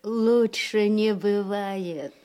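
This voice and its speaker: Slow, gentle old grandmother. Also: medium pitch. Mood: admiring. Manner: gentle, encouraging, affectionate. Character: kind old grandmother, patient, affectionate teacher. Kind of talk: Russian fairy-tale audio play.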